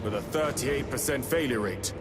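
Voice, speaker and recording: An actor playing a skeptical space marine in a video game, with a wry, deadpan manner. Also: male voice, moderate pace, close to an everyday voice. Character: dry, skeptical space marine battle-brother. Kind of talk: video game cutscene acting. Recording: loud music and vehicle rumble.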